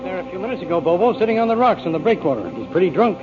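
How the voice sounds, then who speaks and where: An actor playing a solemn, loyal waterfront friend in a 1940s radio drama. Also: male voice, high voice, very animated, rapid-fire, over loud music. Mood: watchful, loyal. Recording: muffled 1940s radio broadcast recording.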